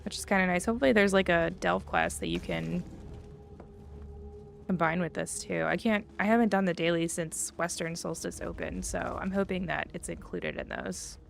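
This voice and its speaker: A woman's voice: lowish voice, expressive, moderate pace, creaky. A woman livestreaming a game in a chatty, upbeat way, thinking aloud and reading out viewer comments. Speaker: livestreamer playing an online fantasy game. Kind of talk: casual gameplay chat, reading out viewer comments. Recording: faint game music behind the voice. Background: faint game music.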